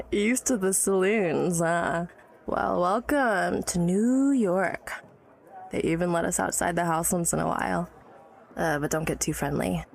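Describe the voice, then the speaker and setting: A woman's voice: fairly low pitch, unhurried, creaky. An actress playing an educated, tipsy, wry woman of early-1900s New York in a period audio drama, teasing and guarded. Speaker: storyteller recalling her childhood.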